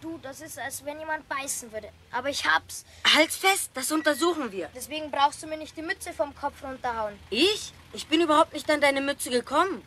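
A bold, curious boy with a high, bright voice, bantering quickly and cheekily. An old children's radio-play recording with faint tape noise. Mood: cheeky.

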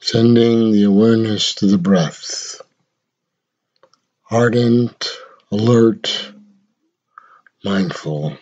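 Man, meditation guide, very low pitch, short measured phrases, long pauses, dull lo-fi sound. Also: a little mellow.

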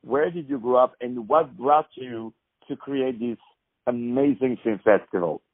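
A man's voice: mid-range voice, a little slow, husky, dry recording. Effusive man on a phone line. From France. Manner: effusive, lavish with praise. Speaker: host. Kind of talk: phone interview. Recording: tinny phone line, dry.

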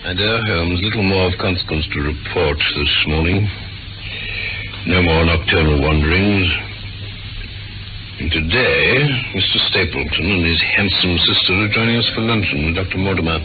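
An actor playing an earnest, easily startled British gentleman, exclamatory and genteel, in 1940s radio drama style. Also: deep voice, moderate pace, close to an everyday voice. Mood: earnest, reporting. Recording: muffled tinny old radio recording, loud music.

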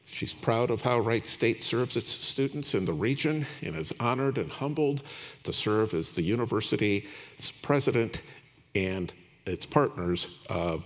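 A man making a ceremonial introduction as master of ceremonies at a graduation, speaking at a podium in a large hall. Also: lowish voice. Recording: echoing hall reverb.

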